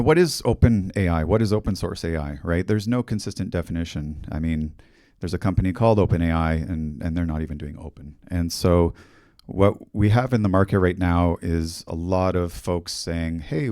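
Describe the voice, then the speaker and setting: A middle-aged man with a Canadian accent giving a technical conference talk in a fairly monotone, steady voice, speaking into a handheld mic on a hall stage. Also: deep voice, clean studio sound. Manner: monotone, steady explanatory delivery. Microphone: handheld microphone.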